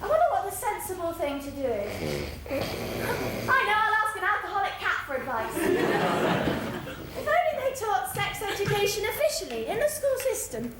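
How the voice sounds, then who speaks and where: A woman's voice: very high-pitched, big pitch swings, moderate pace, heavily acted. A woman playing an earnest, naive, lovesick boy in a stage pantomime, with a hesitant manner. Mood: eager, puzzled. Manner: hesitant, exasperated. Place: theatre stage before a live audience. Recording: echoey, very noisy theatre stage recording.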